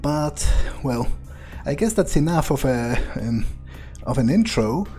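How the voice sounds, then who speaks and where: A man with a mellow, relaxed voice hosts a gameplay video in a casual, explanatory way. His pitch is average, and he often hesitates and repeats words as he goes. Faint music plays behind him. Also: slow. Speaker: let's play host.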